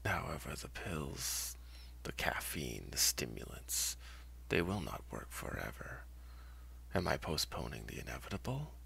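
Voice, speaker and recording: A man voicing a panicked, sleepless young man who doubts his sanity, in a husky whispery voice, muttering haltingly in a horror story. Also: a bass voice, sing-song, pushed and bright, exaggerated voice. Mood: desperate. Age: mid twenties. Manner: tormented, halting, muttering to himself.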